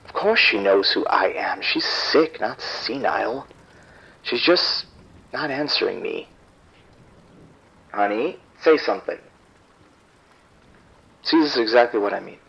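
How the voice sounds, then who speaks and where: A man acting out a proud, irritable husband and father, curt and defensive on the phone. His voice is husky and swings widely in pitch. The line is dull and muffled, like an old phone call. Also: expressive, unhurried, long pauses, bright, forceful tone, full character voice. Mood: exasperated. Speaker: caller. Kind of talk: audio drama acting.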